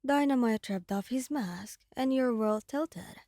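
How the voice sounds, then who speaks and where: A woman narrates a scripted dramatic ASMR roleplay as its storyteller. Her delivery is slow and her tone mellow, with a very dry recorded sound. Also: on the high side, no room sound.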